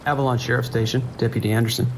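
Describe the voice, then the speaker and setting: A man playing a calm, kindly sheriff's deputy on night dispatch in an audio drama, talking casually and reassuringly. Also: medium pitch, rapid-fire, a little hiss or hum, natural voice, poor audio. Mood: calm, businesslike. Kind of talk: naturalistic audio drama acting.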